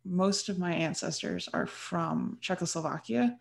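A young American woman hosting a podcast, chatting in an animated, reflective way. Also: fairly low pitch, measured pace, gravelly. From United States. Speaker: podcast host. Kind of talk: casual podcast chat.

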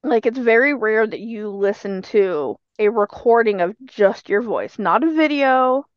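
A woman's voice: on the high side, animated, a little slow, dry recording, lo-fi sound. A woman co-hosting a podcast in casual chat, telling things as stories and acting out little quotes. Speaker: podcast co-host.

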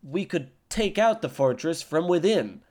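A man's voice: on the high side, expressive, darkish tone. A man playing a boastful, pompous army captain in a comedy audio drama. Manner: pompous, boastful, slow and grandiose.

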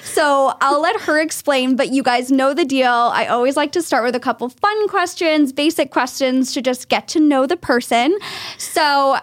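A woman's voice: high voice, moderate pace, no room sound. A woman hosting a podcast interview in a studio, chatty and bubbly, laughing often. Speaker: podcast host. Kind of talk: casual interview chat. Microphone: studio microphone.

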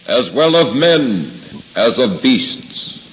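An actor voicing solemn scripture as God and prophet in a 1950s radio drama, declaiming in archaic words. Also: male voice, mid-range voice, sing-song, slow pace, a bit bright, a little hiss or hum. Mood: commanding. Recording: long reverb, dull old radio recording.

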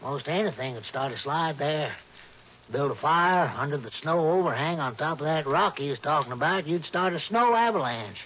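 An actor playing a cautious, folksy old Western guide with a rural American drawl in a 1960s radio drama. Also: male voice, fairly high pitch, expressive, moderate pace, a bit bright, husky. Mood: folksy, cautioning. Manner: folksy, drawling, ungrammatical backwoods talk. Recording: dull, noisy vintage radio recording.

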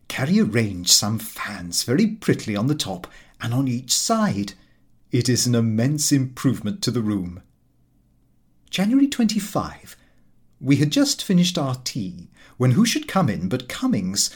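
A man's voice: mid-range voice, breathy edge. A man narrating a comic diary novel as a scripted audiobook reading.

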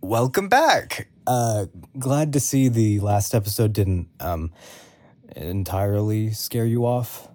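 A man's voice: lowish voice, expressive, takes it slowly, a little mellow, slight background noise. An actor playing a weary, wry young man who confides his story in a hesitant, conversational audio drama narration.

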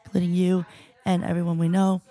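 A woman's voice: fairly low pitch, little pitch movement, dark tone. A woman guest from the United States answers interview questions in an easygoing, giggly way. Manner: easygoing, giggly, speaks slowly when spelling things out. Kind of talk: casual interview chat.

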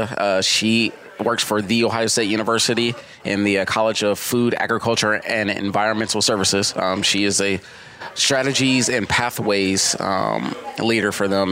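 Easygoing low-voiced man in his thirties. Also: a bit bright. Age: 30s. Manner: easygoing, rambling, trails off mid-thought. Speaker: podcast co-host. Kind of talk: casual podcast conversation.

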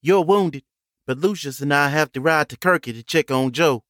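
A man's voice: on the high side, moderate pace, a bit bright, no echo, clean studio sound, pitched above the performer's own voice, close to an everyday voice. A man voicing a firm, blunt, terse gunslinger in an Old West audiobook.

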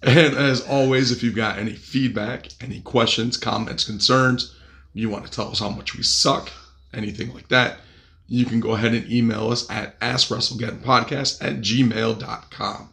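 Raspy man with a cold hosting. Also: medium pitch, steady tone, normal speed, bright, forceful tone. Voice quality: gravelly. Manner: crude, joking, upbeat. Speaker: podcast host. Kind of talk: casual podcast chat.

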